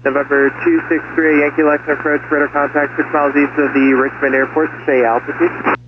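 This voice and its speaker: A man's voice: a little high, level tone, fast talker. A man giving procedural air traffic control calls over aviation radio. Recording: narrow radio audio with engine noise.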